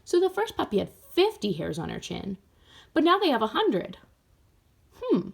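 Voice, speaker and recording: A woman's voice: a little high, huge pitch swings, normal speed, vocal fry. A woman reading a storybook aloud for children, expressively, speaking directly to young listeners. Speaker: storybook reader. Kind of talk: animated children's read-aloud.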